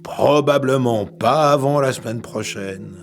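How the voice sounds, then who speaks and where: A man's voice: mid-range voice, a little slow, vocal fry, faint music behind. An actor playing a weary, exasperated father muttering his inner monologue in an audio drama. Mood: resigned. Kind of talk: audio fiction acting.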